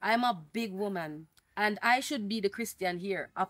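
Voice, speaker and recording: Woman as indignant mother, proud, protective, sharp-tongued; dry. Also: mid-range voice, normal speed, no echo, close to an everyday voice. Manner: indignant, scolding. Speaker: mother of a pregnant daughter.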